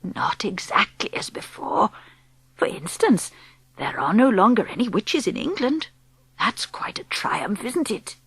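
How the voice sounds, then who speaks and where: A woman doing a hushed, whispery old grandmother. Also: medium pitch, big pitch swings, gravelly, slightly rough, no room sound, exaggerated voice. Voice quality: soft whispery voice. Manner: slow and hushed. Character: elderly grandmother, gentle, calm and wise.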